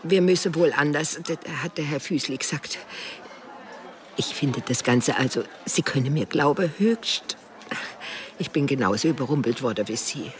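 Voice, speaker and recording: Martha, a flustered, evasive boss. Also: female voice, sits low, animated, moderate pace. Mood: flustered, apologetic. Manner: flustered, evasive, broken-off sentences. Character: harried, evasive female boss named Martha, formally polite. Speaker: supporting character. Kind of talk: radio drama acting.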